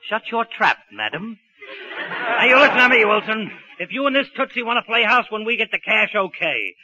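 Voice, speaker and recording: An actor playing a tough, sarcastic, short-tempered American racketeer in blunt slang, in 1940s radio drama style. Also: male voice, high voice, big pitch swings, moderate pace, sharp tone, slightly rough, heavily acted. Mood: menacing. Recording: dull vintage 1941 radio recording.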